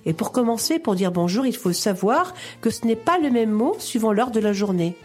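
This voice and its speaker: A woman reading her scripted radio column in a measured, reassuring, explanatory way. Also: a little high, lively intonation, darkish tone, slightly rough, soft background music.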